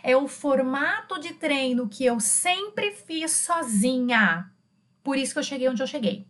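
High-pitched Brazilian woman teaching informally. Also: expressive, measured pace, a bit bright. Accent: Brazilian Portuguese. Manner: chatty, emphatic, repeats key phrases. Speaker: French teacher. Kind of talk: informal online language lesson. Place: small indoor room with bookshelves.

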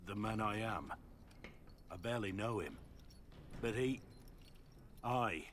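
A man voicing a brooding, guilt-ridden bearded warrior in a video game, speaking low and gravelly. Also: animated, takes it slowly, a bit bright, slight background noise.

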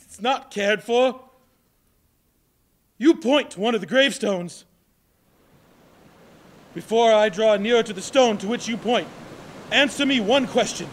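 A man plays an old miser, shaken and pleading, his voice pitched high and thin. Heard live in a large hall from the audience's camera. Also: a little slow, pauses often, fairly bright tone, heavily acted. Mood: fearful. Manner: anguished, pleading. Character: Scrooge, old miser now fearful and pleading. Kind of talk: live stage play reading. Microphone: far camera.

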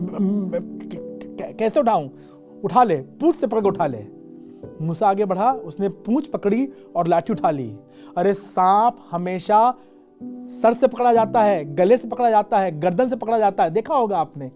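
Indian bishop in his forties, mellow and warm, dramatic sermon, soft music underneath. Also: high-pitched. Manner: dramatic, slows for emphasis, switches into English phrases. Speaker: bishop preaching. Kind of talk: sermon to camera. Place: dark studio set with a lamp.

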